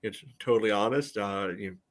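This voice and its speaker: Deeper voiced guy on a sports podcast, casual analysis, rambly w lots of you knows. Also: quick pace. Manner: hesitant, loosely phrased, peppered with "you know".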